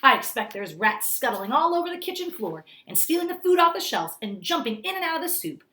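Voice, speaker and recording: A woman's voice: sits high, sing-song, brisk, bright, forceful tone, reverberant, voice pushed up, full character voice. A woman voicing a stubborn, indignant old grandmother in a storybook, with a blunt, emphatic, scolding delivery. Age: older.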